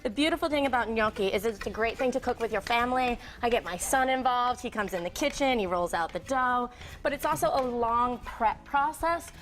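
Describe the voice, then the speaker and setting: A woman's voice: on the high side, expressive, fairly quick, fairly bright tone. A woman cooking on a TV studio set, explaining each step in an upbeat, hands-on way. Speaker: guest cook. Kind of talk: cooking demonstration on live TV. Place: TV studio kitchen.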